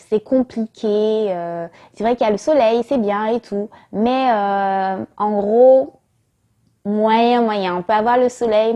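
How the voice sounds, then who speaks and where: A woman's voice: medium pitch, warm tone. A young woman from Martinique, telling a personal faith testimony in a casual, hesitant way with many fillers. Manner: hesitant, many fillers, acts out her own past thoughts. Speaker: vlogger giving a testimony.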